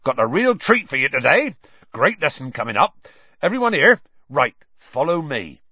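A huge rough gamekeeper bellows at his class in a raised, lively voice, as a man puts him on. He is hearty and calls out loudly, and he trips over his words when flustered. The recording is dull and dry, with no room around it. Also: on the high side, moderate pace, no room sound, pitched above the performer's own voice. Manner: loud hearty calling, stammers when flustered.

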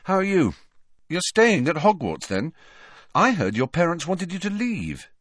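A man's voice: a little high, wildly swooping pitch, measured pace, a bit bright, husky, no room sound, studio quality, pitched above the performer's own voice, exaggerated voice. A man voicing a chatty, gossipy teenage schoolgirl witch with an eager, wide-eyed manner for a storybook.

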